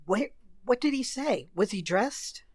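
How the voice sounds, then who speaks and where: A woman's voice: fairly high pitch, expressive, measured pace, darkish tone, husky, dry recording, clean studio sound. A woman playing an impatient, suspicious well-to-do wife who questions sharply in a radio mystery. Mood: worried. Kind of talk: old radio mystery acting.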